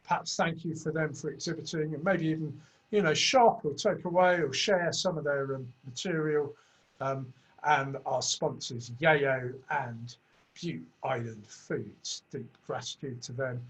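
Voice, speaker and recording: A man opens an online festival panel in a chatty, rambling way, his voice sitting a bit high for a man. He is generous with ums and uhs as he welcomes the viewers. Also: a little slow, slightly rough, low-quality recording. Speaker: festival host.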